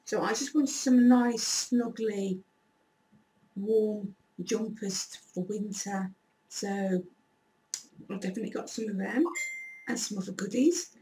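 A woman from England with a croaky voice, chatting in a rambling, animated way through a shopping haul vlog at home. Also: a little high, distant mic, slight background noise, rough recording. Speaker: YouTuber. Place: small room indoors.

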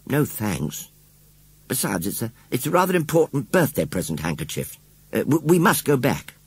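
A man's voice: mid-range voice, big pitch swings, normal speed, breathy edge, dry recording, voice pushed up, heavily acted. A man voicing an earnest, tender-hearted young English girl in a storybook, with a pleading, childlike manner.

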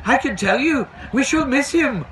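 A man voicing an earnest, worried, excitable male engine driver in a storybook reading. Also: very high-pitched, normal speed, noisy background, pitched above the performer's own voice. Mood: indignant. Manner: earnest, indignant. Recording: outdoors with background bustle.

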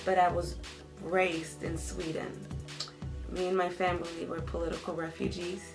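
A young woman from El Salvador speaks in a lowish, flat voice, slowly searching for words between pauses. Music plays clearly behind her. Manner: slow, searching, many pauses. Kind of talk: interview. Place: indoors, seated on a couch before a red wall.

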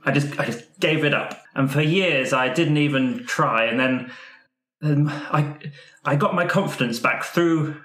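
A man improvising in character in a roleplaying game, speaking earnestly and haltingly, often repeating himself. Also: a little high, fairly bright tone. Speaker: tabletop roleplay player, performer. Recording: roomy, echoing room sound.

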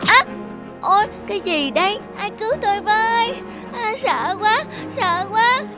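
A woman's voice: very high-pitched, unhurried, music in the background. A woman voicing a small, timid and envious rabbit in a children's cartoon, whiny and full of exclamations. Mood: frightened. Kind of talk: animated children's fairy tale acting.

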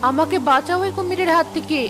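Anxious, high-voiced village mother. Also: fast, over music. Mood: pained pleading. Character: worried village wife and mother, cautious and caring. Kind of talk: dramatic Bengali animated story acting. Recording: rainstorm noise behind voice.

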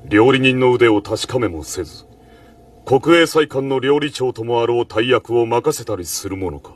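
An actor playing a stern, dignified admiral in an anime, speaking in a formal, measured, commanding way. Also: male voice, mid-range voice, lively intonation, far from the mic, close to an everyday voice. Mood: stern, composed. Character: stern, dignified male admiral, high official judging chefs. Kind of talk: anime dramatic acting.

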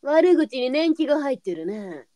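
A woman's voice: sits high, big pitch swings, measured pace, dark tone, dry recording. A woman playing a stern, nagging brothel madam with a motherly streak, speaking bluntly in old-fashioned scolding phrases. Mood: exasperated.

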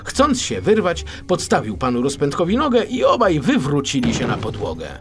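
A man reading a children's story as an expressive, theatrical audiobook narrator. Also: on the high side, moderate pace, over music.

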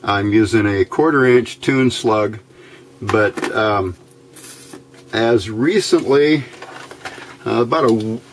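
A man giving an informal hands-on electronics tutorial in a plain-spoken, halting way, recorded at an indoor workbench. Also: fairly low pitch, a little hiss or hum. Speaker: electronics hobbyist demonstrating a build.

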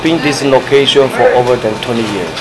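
A man in his thirties, originally from China, answering interview questions as a bakery owner in halting, simple English full of "you know". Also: mid-range voice, over a noisy crowd. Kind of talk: casual interview. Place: small bakery cafe, indoors. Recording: noisy room with air conditioning hum.

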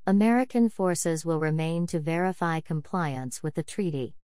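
A woman with a deep, warm voice reads exam prompts. Her tone is clean and smooth. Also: normal speed, no room sound, studio quality. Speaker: test prompt reader. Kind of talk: scripted sentence reading for an English test.

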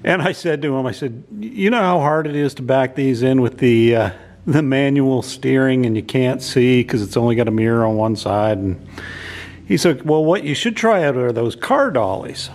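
A middle-aged man talking casually in a large pole barn workshop, relaxed and folksy with many filler sounds, picked up by a camera on a tripod. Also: medium pitch, normal speed. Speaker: channel host reviewing a product. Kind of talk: casual how-to vlog narration.

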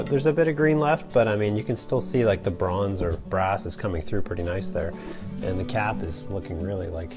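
A relaxed man in his thirties with a fairly low voice walks through a repair in a basement workshop, talking loosely with frequent "uh" and "like". Music runs clearly underneath his voice. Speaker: sailing vlogger. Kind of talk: casual how-to vlog.

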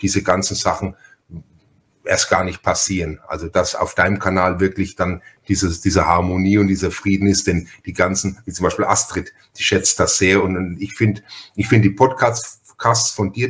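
Male podcast host, lowish voice, chatty and halting, conversational delivery. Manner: talkative, halting, repeats words.

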